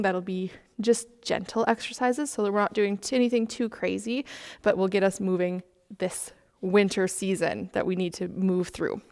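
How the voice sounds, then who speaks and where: A woman casually talking children through gentle exercises in a plain, encouraging way. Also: mid-range voice. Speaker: children's time leader at church. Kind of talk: casual spoken instruction to children. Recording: long echoing room reverb.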